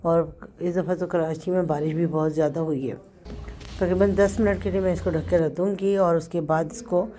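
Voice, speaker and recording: A woman with a Pakistani Urdu accent and a hoarse, sore throat, chatting through a home recipe step by step and correcting herself as she goes. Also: on the low side, steady tone, moderate pace, mellow. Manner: chatty, explains step by step with small self-corrections. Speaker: home cook host. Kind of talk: casual cooking narration.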